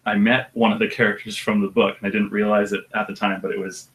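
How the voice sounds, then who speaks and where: An audiobook narrator with a deep voice, talking about his work in a relaxed, thoughtful way with some hesitation. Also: quick pace. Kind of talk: casual interview chat.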